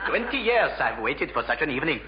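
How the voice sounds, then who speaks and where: A man's voice: fairly high pitch, very animated, fast. An actor playing a formal foreign businessman with a non-native accent and careful, hesitant English in an old British radio sitcom. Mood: polite, halting. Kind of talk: 1950s-60s British radio sitcom acting. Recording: muffled vintage radio recording, faint hiss.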